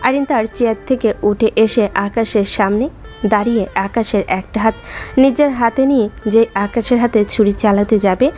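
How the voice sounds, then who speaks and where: A woman's voice: on the high side, normal speed, a little mellow. A woman reading scripted dramatic story narration as the narrator. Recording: music under the voice.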